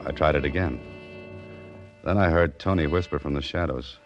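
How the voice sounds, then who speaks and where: A man's voice: a bass voice, moderate pace, lo-fi sound, over loud music. An actor playing a wisecracking, hard-boiled detective who narrates his own case in an old radio drama. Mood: narrating. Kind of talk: 1940s radio acting.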